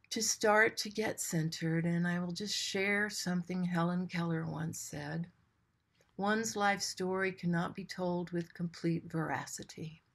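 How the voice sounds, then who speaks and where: Female meditation guide, lowish register, very unhurried delivery, gentle and soothing, generous pauses. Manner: gentle, soothing, with long pauses between short phrases.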